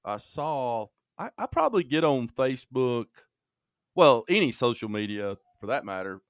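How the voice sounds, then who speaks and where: A man's voice: on the low side, clean tone, dry recording, studio quality. A man from Alabama, a podcast guest talking casually, often restarting his sentences and telling stories with energy. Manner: halting, with many restarts and fillers; lively storytelling. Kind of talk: casual conversation.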